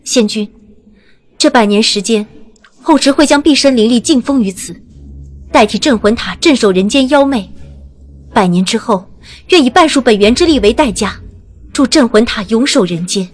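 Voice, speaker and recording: An earnest, resolute young goddess, as a woman narrator voices her in an audio drama. Her voice is raised and bright, and her words are firm and deliberate. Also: on the high side, lively intonation, voice pushed up.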